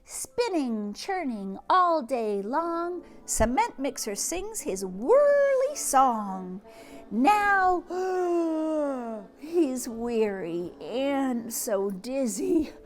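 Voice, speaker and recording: A woman reading a children's picture book aloud, expressively, with drawn-out words and hushed asides, speaking directly to young listeners. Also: high-pitched, sing-song, faint music behind. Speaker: teacher or read-aloud host reading to children.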